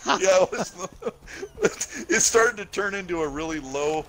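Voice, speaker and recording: A middle-aged man, a podcast guest, chatting casually, enthusiastic and quick to laugh. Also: high-pitched, lively intonation, fairly bright tone. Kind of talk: casual chat.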